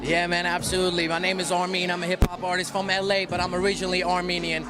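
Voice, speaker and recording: A man's voice: high voice, completely flat delivery, fast talker. A young man from the Netherlands, a hip hop artist, talks about his music in a laid-back way and often says "you know what I'm saying.". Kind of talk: promotional interview. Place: outdoor party. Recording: outdoor event with loud music.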